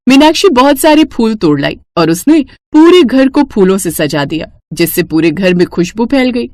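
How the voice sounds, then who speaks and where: Woman, scripted cartoon storytelling, expressive, very varied intonation, dry recording. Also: on the high side, moderate pace, dry recording.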